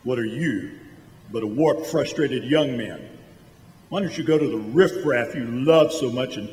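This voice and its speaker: An actor playing a cold, gloating, miserly old man in a stage radio drama, speaking in a sneering, deliberate way. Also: medium pitch, darkish tone, close to an everyday voice. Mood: scornful. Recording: echoey hall stage recording, faint music.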